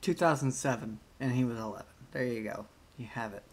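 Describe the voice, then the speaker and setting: A man's voice: mid-range voice, brisk. A young man hosting a reaction video at home on a headset mic, chatting casually and laughing along.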